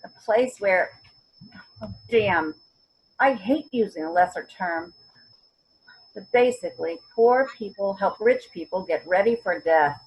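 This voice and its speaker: An actress plays an elderly mother in a stage drama, her voice husky and mid-pitched. She is stubborn and wry, hunts for the right word, then lands it with firm emphasis. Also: lively intonation, unhurried, long pauses, low-quality recording. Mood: exasperated. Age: older. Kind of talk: virtual readers theater acting.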